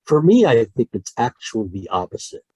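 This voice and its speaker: A man's voice: medium pitch, a little fast, slightly rough, no room sound. A man, a voice actor, chatting on a podcast over a call, talking with passion and often restarting his sentences. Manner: animated, many restarts, passionate about storytelling. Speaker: guest voice actor. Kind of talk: casual podcast conversation.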